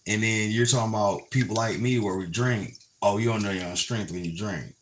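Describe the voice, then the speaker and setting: A man's voice: lowish voice, brisk. A man around forty on a live stream, chatting to viewers in a blunt, swearing storytelling rant from his phone. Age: 40s. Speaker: livestreamer. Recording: loud background noise with running water.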